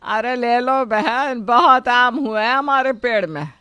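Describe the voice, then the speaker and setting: A woman playing an old, grumbling, sharp-tongued mother-in-law in a moral story, nagging and complaining. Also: fairly high pitch, measured pace, smooth, no room sound.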